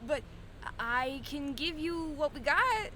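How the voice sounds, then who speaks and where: A terrified young male thug whimpers in a very high, strained pitch in an audio drama. He stammers and pleads, cowed, with faint rumbling noise behind him. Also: very animated, slow pace, pushed and bright, exaggerated voice. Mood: cowed, apologetic.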